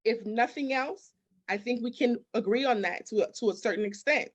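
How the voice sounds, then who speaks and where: A woman's voice: fairly high pitch, brisk, husky. A woman giving motivational, conversational interview answers as a business coach, emphatic, with repetition and rhetorical questions. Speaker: business architect and mindset coach, interview guest.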